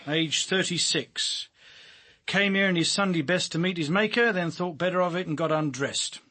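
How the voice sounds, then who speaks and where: A world-weary male police boss in a radio play. His voice is a little high and husky, with dry sarcasm and blunt authority. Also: pushed and bright. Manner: dry, wry, commanding. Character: sardonic, driven senior police detective, blunt and world-weary. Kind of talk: radio drama acting.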